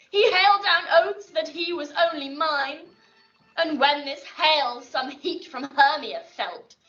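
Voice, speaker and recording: A woman's voice: very high voice, sharp tone, full character voice. An actress playing a lovesick, bitter young Athenian woman in a Shakespearean audio drama, with theatrical, emotive verse delivery. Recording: roomy, distant, dull playback with music.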